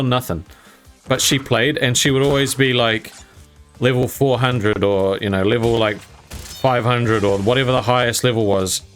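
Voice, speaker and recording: A young man casually telling a story while gaming, rambling and laughing often, with some mild swearing. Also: medium pitch. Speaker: gaming channel host.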